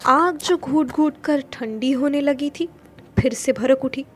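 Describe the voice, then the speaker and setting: High-voiced woman narrating a story. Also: unhurried. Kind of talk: dramatic literary story narration. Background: faint music.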